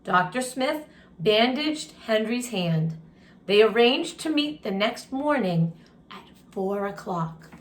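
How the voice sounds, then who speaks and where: Slow-paced woman reading a story aloud. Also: on the high side, expressive. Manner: deliberate, pausing to comment and ask questions. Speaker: storytime reader and teacher. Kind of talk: expressive read-aloud storytelling with asides to children.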